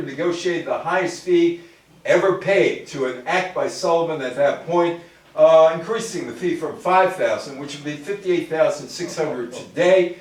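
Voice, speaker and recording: A man's voice: on the high side, darkish tone. Echoey, distant older American man lecturing. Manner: informal, addresses listeners directly with short asides. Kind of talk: public talk on music history, reading quotes and commenting. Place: echoey room with an audience. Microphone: distant microphone. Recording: very echoey room, distant microphone.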